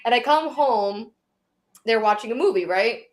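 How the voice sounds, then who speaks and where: A woman's voice: on the high side, moderate pace. Long island woman, 30s, chatty podcast cohost, acts out what people said. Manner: animated storyteller, acts out quotes. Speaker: podcast co-host. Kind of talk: casual live-stream chat.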